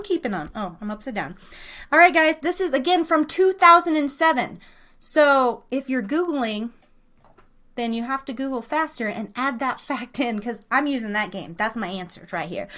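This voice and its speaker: A woman with a high-pitched voice runs a casual live quiz stream. She is playful and excitable, chatting to her listeners and stretching words for emphasis as she goes. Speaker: live trivia game host.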